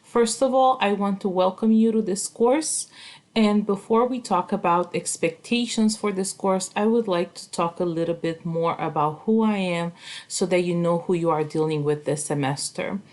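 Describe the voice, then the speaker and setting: A woman speaks in a somewhat low voice. She talks in an earnest, slightly formal and well-ordered way, lifting her pitch at the start of each new point as she welcomes students to a course. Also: moderate pace, darkish tone. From Romania. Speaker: college instructor.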